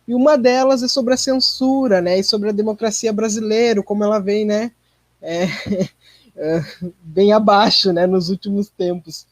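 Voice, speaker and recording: High-voiced Brazilian man, dry sound. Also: steady tone, moderate pace, no echo. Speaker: theatre group member, interview guest. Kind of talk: conversational interview answer.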